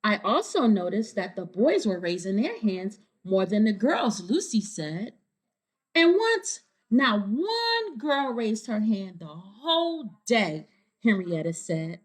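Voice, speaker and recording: Female storybook reader for kids, slow, expressive pitch, explanatory asides. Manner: explanatory, checks in with listeners. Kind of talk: read-aloud storytelling with asides to young listeners.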